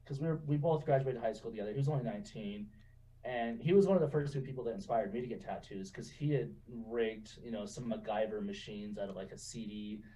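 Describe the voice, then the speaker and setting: A man in his twenties telling stories in a relaxed, chatty way, laughing often and saying "you know" a lot. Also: fairly low pitch, a little fast, recorded from a distance, low-quality recording. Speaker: guest. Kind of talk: casual interview storytelling.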